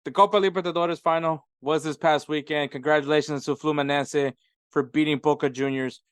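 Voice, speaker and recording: A man's voice: a little high, fairly even intonation, brisk, clear, resonant tone, no room sound, studio quality. A man co-hosting a sports podcast in casual soccer chat, with an informal, jokey way of talking.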